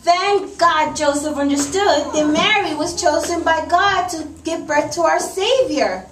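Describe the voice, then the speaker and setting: Echoey, high-pitched woman storyteller. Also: measured pace, a bit bright. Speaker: narrator of children's puppet show. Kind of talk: live storytelling narration to children. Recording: echoey, noisy room from far off.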